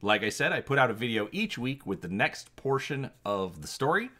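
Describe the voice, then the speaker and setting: A man's voice: medium pitch, sing-song, normal speed, pushed and bright. A man hosting his channel, giving a dramatic reading of an adventure gamebook and inviting viewers to comment on choices.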